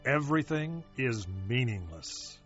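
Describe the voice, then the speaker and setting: A man playing a solemn, reflective ancient sage who declaims scripture in a measured, expressive way. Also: mid-range voice. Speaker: audio Bible reader. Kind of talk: dramatized scripture reading. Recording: dull, lo-fi audio under music. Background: music.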